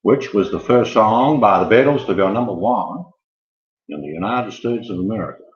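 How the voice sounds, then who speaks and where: A man with a low voice hosts an informal quiz. He rambles and jokes, building things up theatrically. The recording is dull and lo-fi, with clear room echo. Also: expressive, moderate pace. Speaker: quiz host. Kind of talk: informal live online quiz hosting.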